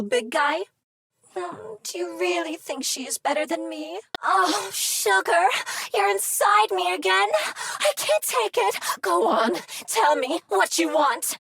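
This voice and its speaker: A woman's voice: very high-pitched, expressive, slow, vocal fry, a bit bright, heavily acted. An actress voicing a flirtatious female machine in a video game, purring and teasing. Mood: sultry. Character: flirty female machine, sultry vending unit. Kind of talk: video game character dialogue.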